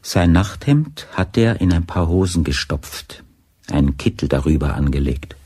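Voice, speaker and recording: A man narrating a crime radio play in a deliberate, literary storytelling manner. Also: extremely low pitch, animated, a little mellow, husky, no room sound, clean studio sound, heavily acted. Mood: measured, observant. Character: measured, observant male narrator of a crime story.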